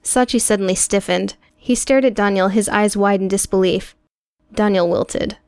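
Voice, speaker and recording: A woman reading scripted audiobook prose in a measured, dramatic storytelling manner. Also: medium pitch, a little mellow, clear, resonant tone, no room sound. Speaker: audiobook narrator.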